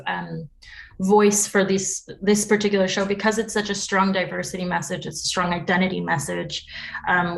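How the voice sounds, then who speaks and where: A woman's voice: mid-range voice, rough recording. A woman from New York City with an American accent, giving thoughtful, explanatory answers in a conversational interview. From New York City, United States. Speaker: interview guest, podcast producer.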